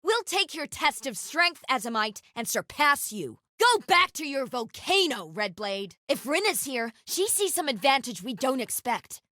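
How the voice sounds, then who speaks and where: An actress playing a stern, vengeful interrogator in a video game, clipped, commanding and threatening. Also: female voice, sits high, sing-song, unhurried, few pauses, sharp tone, no echo, studio quality, heavily acted. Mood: alert, defiant. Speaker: game character voice.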